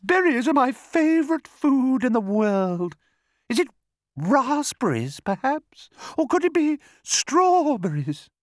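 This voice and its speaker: Very high singsong little bird. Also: male voice, sing-song, slow, no echo, studio quality, heavily acted. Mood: innocent, delighted. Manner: sung-out, lilting, polite. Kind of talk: children's storybook character voice.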